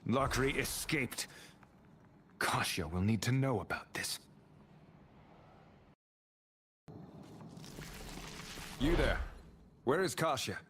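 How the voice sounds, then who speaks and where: A man's voice: medium pitch, expressive, measured pace, a bit bright, a little hiss or hum. A man playing a stern but courteous wandering adventurer hero in a fantasy game. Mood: terse.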